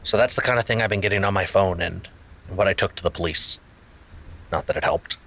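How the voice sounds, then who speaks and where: An actor playing an earnest amateur investigator, reasoning aloud as a conversational podcast narrator in an audio drama. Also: male voice, low-pitched, brisk, gravelly, fairly bright tone, a little hiss or hum. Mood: puzzled, probing. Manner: reasoning aloud, self-questioning.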